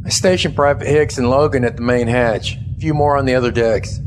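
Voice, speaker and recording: A man's voice: medium pitch, steady tone, brisk, background noise, close to an everyday voice. An actor playing a dutiful, earnest army sergeant in a science fiction audio drama, respectful in his address. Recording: music behind the voice.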